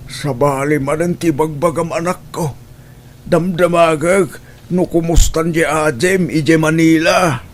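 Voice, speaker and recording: A man playing an elderly, ailing father in an audio drama, questioning slowly and softly in an Ilocano accent. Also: on the high side, steady tone, lightly acted. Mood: worried, probing. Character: elderly ailing father fretting over his children.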